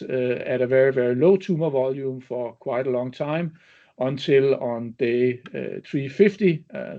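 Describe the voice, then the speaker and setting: A male executive delivers a formal presentation of clinical results for an investor webinar. His voice sits at a medium pitch and his delivery is halting, broken up by frequent ums. The audio is dull and band-limited, as on an online call. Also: mellow. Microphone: webinar call connection.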